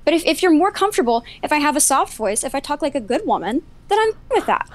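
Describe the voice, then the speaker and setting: A woman's voice: sits high, big pitch swings, fast. A nineteen-year-old woman in a heated debate, pressing pointed questions again and again. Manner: insistent, repeating pointed questions. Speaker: debate guest.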